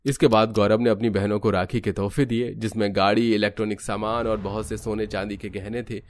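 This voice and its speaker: A man's voice: fairly low pitch, little pitch movement, fairly quick. A man giving scripted storytelling narration for a cartoon.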